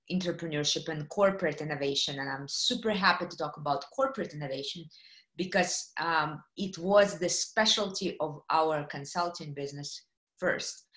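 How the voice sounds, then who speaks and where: Middle-aged woman from Kazakhstan, guest speaker on a video call, lower voice, roomy echo. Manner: lively, fillers, asks the audience questions. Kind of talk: webinar presentation. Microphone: computer mic on video call.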